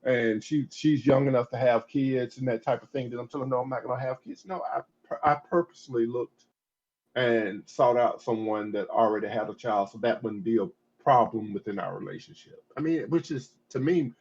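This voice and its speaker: A man's voice: medium pitch, a little fast, darkish tone, slightly rough. A man in his fifties talking casually on a podcast, rambling with many restarts and repeated "I mean"s. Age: 50s. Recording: dull lo-fi audio.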